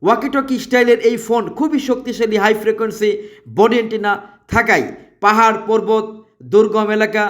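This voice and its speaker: A man with a Bangladeshi accent delivering an emphatic, repetitive promotional sales pitch as a product advertiser. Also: flat delivery, fairly quick, a bit bright. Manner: emphatic, repetitive, listing features.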